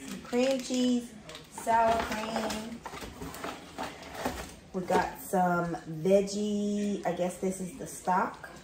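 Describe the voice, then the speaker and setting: A woman's voice: mid-range voice, fairly even intonation, extremely slow, long pauses, background noise. A middle-aged woman in a home kitchen, chatting casually to the camera and reading recipe steps aloud. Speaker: vlogger. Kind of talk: casual cook-along vlog. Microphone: camera on the counter. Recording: roomy kitchen echo.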